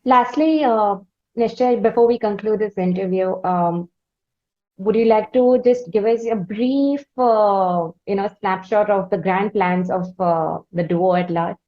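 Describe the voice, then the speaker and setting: A woman with an Indian accent asking polite, formal interview questions in wordy, halting phrases. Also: medium pitch, muffled recording.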